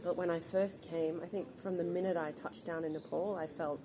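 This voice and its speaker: Thoughtful middle-aged woman, lowish voice. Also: fairly even intonation, crowd noise, rough recording. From Australia. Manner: thoughtful, earnest, measured. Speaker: guest, founder of an organization. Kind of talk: reflective interview answers. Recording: background ambience noise.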